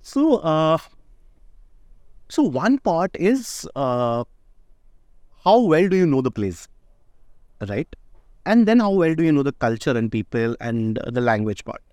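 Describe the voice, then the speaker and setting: A man's voice: fairly high pitch, sing-song, unhurried, long pauses, darkish tone, no echo, studio quality. A man, a startup founder, answering interview questions in a casual, emphatic way and often asking "right?". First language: not Indonesian. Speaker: startup founder and CEO, guest.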